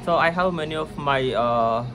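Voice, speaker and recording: A man's voice: on the high side, over music. Young man asking polite questions. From India. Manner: polite, slightly halting questions with fillers. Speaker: vlog host interviewing a colleague. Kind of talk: casual interview questions. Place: indoor hotel lounge.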